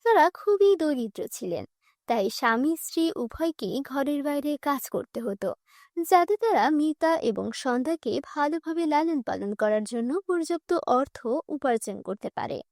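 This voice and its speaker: A woman's voice: high-pitched, sing-song, normal speed, darkish tone, dry recording, studio quality. A woman reading scripted children's story narration as a storyteller.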